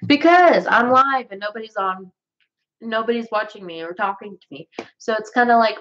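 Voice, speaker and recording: A woman's voice: on the high side, animated, normal speed, fairly bright tone, a little hiss or hum. Rambling Southern woman on a lo-fi livestream. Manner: rambling, chatty, many fillers and restarts. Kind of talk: casual livestream chat. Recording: dull lo-fi sound.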